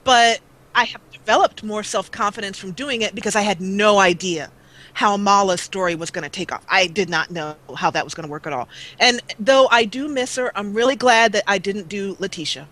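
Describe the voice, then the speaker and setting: A chatty woman in her forties talks about her gaming channel as an interview guest. Her voice is bright and clear. She rambles, restarts, and fills gaps with ums, and her mic is old and taped up. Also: medium pitch, fairly quick, low-quality recording. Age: 40s. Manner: rambling, chatty, with many fillers. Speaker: guest let's player. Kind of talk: casual interview chat. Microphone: old duct-taped mic that cuts out.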